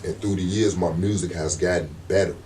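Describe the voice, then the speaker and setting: A man with a deep voice, a rapper being interviewed, talking in a laid-back, slangy way and often asking "you know what I'm saying". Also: steady tone, fairly quick, warm tone, distant mic, slight background noise. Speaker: rapper guest. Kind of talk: casual interview talk.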